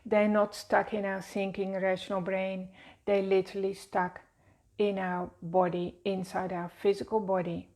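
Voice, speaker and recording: A woman with a Dutch accent talks softly through a guided meditation. She leads at an unhurried, soothing pace, with a gravelly fry running through her mid-pitched voice. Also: little pitch movement. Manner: slow, gentle, soothing guidance. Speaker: wellness teacher. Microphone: seated at a desk, speaking to camera.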